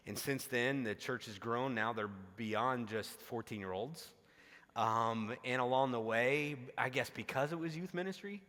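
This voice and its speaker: A man's voice: mid-range voice, fairly bright tone. A man speaking as a pastor hosting a conversational interview, informal and a little meandering. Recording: reverberant large hall.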